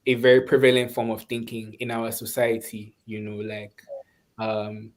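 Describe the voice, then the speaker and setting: A Nigerian man speaking reflectively and conversationally in an online webinar, often pausing and restarting as he searches for words. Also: fairly low pitch, completely flat delivery. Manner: thoughtful, halting, searching for words. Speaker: webinar speaker, course instructor.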